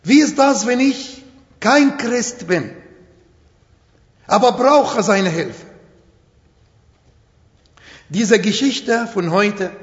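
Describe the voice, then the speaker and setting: A middle-aged Arabic-accented preacher with a fairly high voice gives a slow, emphatic sermon in imperfect German. The sound is dull and carries a long hall reverb, as in a church hall. He is a native Arabic speaker.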